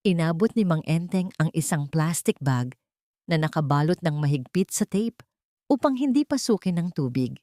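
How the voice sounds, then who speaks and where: Low-voiced Filipino woman narrating drama. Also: expressive, normal speed, warm tone, no room sound, clean studio sound. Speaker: audiobook narrator. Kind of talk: dramatic scripted story narration.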